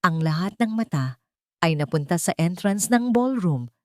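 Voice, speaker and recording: Low-pitched Filipino woman narrating a drama. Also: lively intonation, normal speed, dark tone, dry recording, clean studio sound. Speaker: storyteller. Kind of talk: scripted dramatic story narration.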